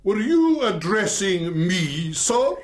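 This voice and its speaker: An actor playing a stern, commanding doctor and magistrate in a radio drama, speaking in a clipped, admonishing way. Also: male voice, high voice, sing-song, slow, heavily acted. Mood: indignant.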